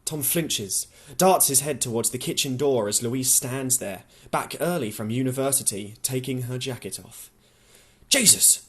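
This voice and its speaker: A man narrating a novel as an audiobook, dramatically, in short deliberate phrases. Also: medium pitch, breathy edge. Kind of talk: scripted literary narration.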